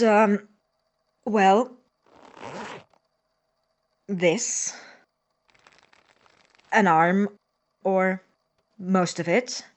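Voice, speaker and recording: A man playing an anxious, sarcastic young medical student, a reluctant accomplice, in a naturalistic audio drama. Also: high-pitched. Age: young adult. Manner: sarcastic, exasperated.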